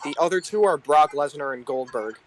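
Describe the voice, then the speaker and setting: A man's voice: on the high side, a little fast. A man co-hosting a casual wrestling discussion in a matter-of-fact, clarifying manner. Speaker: podcast co-host. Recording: slightly noisy amateur audio.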